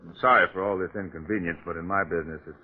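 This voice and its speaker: A man acting a polite but persistent police detective, measured and probing, in a 1940s-style radio mystery. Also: sits low, fairly even intonation, slight background noise, lightly acted. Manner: measured, questioning. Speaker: actor. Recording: tinny old radio recording.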